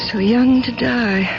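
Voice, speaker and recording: A spellbound young woman in an old radio drama. Her speech is very slow and creaky, full of morbid wonder, on a dull recording. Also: on the high side, exaggerated voice. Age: 20s. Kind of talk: 1970s radio drama acting.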